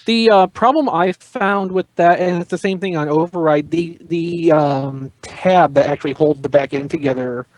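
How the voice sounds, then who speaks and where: A man with a fairly high voice chats casually as a podcast panelist. The recording is close and very dry. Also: normal speed, few pauses, a little mellow, no echo, low-quality recording. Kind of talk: casual hobby chat.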